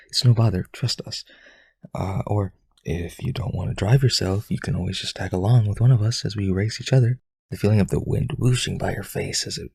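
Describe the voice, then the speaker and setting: A low, very husky male voice with some creak, a man acting a rich young-blooded vampire suitor in an audio roleplay. He is lonely and clingy, hesitant yet eager to please. The sound is dry and close. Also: darkish tone, dry recording, full character voice.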